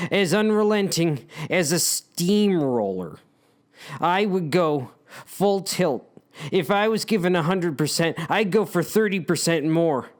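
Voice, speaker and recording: A man reading his own story as dramatic narration, speaking to the characters in a wry, theatrical, conspiratorial way. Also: a little high.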